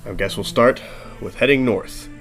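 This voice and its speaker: An actor playing an easygoing, level-headed peacemaker among adventurers in a fantasy audio drama. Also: male voice, mid-range voice, moderate pace, natural voice. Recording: music behind the voice.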